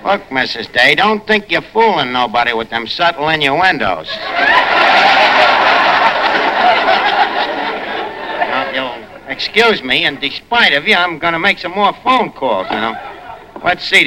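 An actor playing a brash, lowbrow tavern manager in a 1940s radio comedy, with a New York accent and mangled street grammar. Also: male voice, animated, a little slow. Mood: dismissive. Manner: ungrammatical street talk with malapropisms. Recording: noisy old radio recording.

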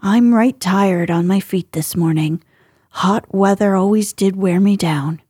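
A woman's voice: mid-range voice, measured pace, mellow, husky, no echo, studio quality. A woman voicing a tired, scolding older housekeeper who fusses in exasperation. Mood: exasperated. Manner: exasperated, fussing.

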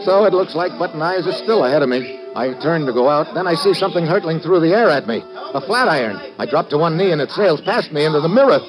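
A man's voice: a little high, animated, fast talker, few pauses, close to an everyday voice, rough recording. An actor playing a tough, wisecracking government agent who tells his own case in a vintage radio drama, with clipped, world-weary delivery. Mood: tense narration. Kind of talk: 1940s-50s radio detective drama acting and hard-boiled narration. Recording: vintage radio recording with music.

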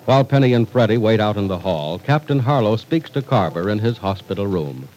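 A man's voice: fairly low pitch, lively intonation, fairly quick, a little mellow, rough recording. A man with an American accent, announcing in a polished, emphatic, scripted 1940s radio style. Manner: polished, emphatic sales delivery.

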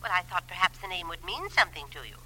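Fluttery genteel old widow, bright, animated. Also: female voice, lowish voice, quick pace, a little hiss or hum, full character voice, rough recording. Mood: fluttery, apologetic. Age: older. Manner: hesitant, fluttery, refined, overly precise. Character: genteel, fussy, proud elderly widow. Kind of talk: 1950s radio drama acting.

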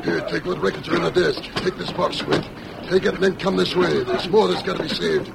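An actor playing a scheming, gruff male outlaw boss who barks orders, in a 1940s radio drama. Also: a little high, animated, a little fast, very noisy. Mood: frantic. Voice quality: gravelly. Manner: barking orders.

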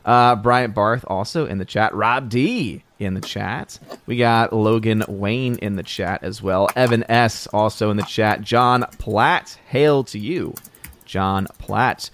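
A man's voice: lowish voice, takes it slowly, a bit bright. A man hosting a casual livestream, greeting viewers in the chat by name with playful hails. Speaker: livestream host.

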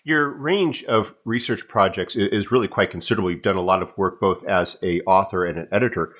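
Husky-voiced male podcast host. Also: sits low, brisk. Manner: calm, polite interviewer. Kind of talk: podcast interview.

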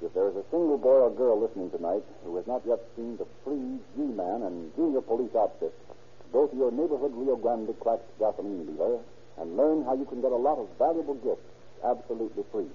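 A man narrating and announcing in formal, declamatory 1930s radio style, with a rough, grainy voice. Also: mid-range voice, sing-song, fairly quick. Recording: muffled vintage 1930s radio recording.

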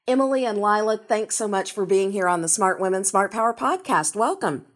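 A woman's voice: mid-range voice, animated, brisk, dry recording, studio quality. A woman hosting an interview podcast, moving between scripted introduction and questions to guests. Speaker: podcast host.

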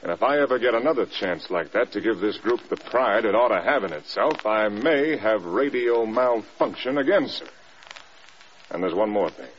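An actor playing a stern, defiant air force general in a vintage radio drama, speaking in brisk, clipped military phrases. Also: male voice, lowish voice, animated, natural voice. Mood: defiant, firm. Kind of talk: 1940s-50s radio drama acting. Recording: muffled, hissy old radio recording.

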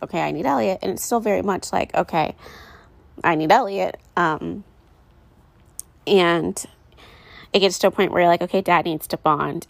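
A woman's voice: lowish voice, creaky, no room sound. A woman in her late twenties, chatting casually and rambling to her viewers about motherhood, with lots of fillers. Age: late 20s. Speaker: vlogger. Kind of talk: casual chat to camera.

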